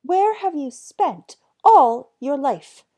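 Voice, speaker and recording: An exasperated storybook mother, as a woman narrator plays her, scolds her foolish son in a high, shrill voice. Her words swoop and stretch out in loud reproach. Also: expressive, slow, lo-fi sound. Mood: shrill exasperation. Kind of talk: storybook character voice.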